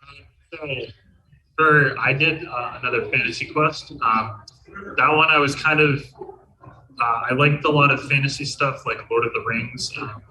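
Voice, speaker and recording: Man, game developer interviewee, extremely bright tense tone, hesitant, casual, distant mic. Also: mid-range voice, unhurried. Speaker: game programmer and writer being interviewed. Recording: picked up from off the mic.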